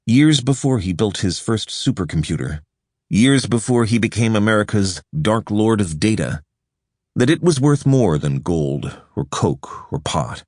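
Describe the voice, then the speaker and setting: A man narrating a nonfiction audiobook in a measured, even storytelling delivery, recorded close in a studio. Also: fairly low pitch, no room sound. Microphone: close studio microphone.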